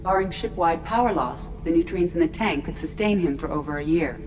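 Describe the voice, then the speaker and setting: An actress voicing a calm artificial intelligence aboard a starship in a video game, clinical, precise and formal. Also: female voice, fairly low pitch, a little fast, natural voice. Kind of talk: video game character acting. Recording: clear background noise, ship-like ambience.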